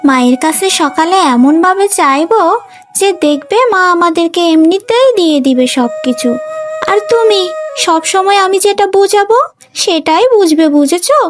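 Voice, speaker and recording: Woman acting high-voiced scheming young wife. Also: animated, normal speed, soft background music. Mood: bossy, scheming. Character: greedy, scheming, bossy young wife and daughter-in-law. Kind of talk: Bengali cartoon story voice acting.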